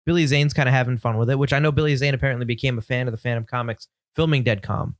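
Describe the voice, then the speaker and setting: A man co-hosting a film podcast in casual chat, relaxed and opinionated with quick asides. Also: mid-range voice, no echo, clean studio sound. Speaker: podcast co-host.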